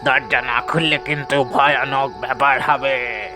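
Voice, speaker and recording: An angry ghost acted by a man in a horror audio story, menacing and commanding. The delivery is slow and threatening, with music clearly playing behind it. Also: medium pitch, fairly even intonation, close to an everyday voice. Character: angry menacing ghost demanding the door be opened.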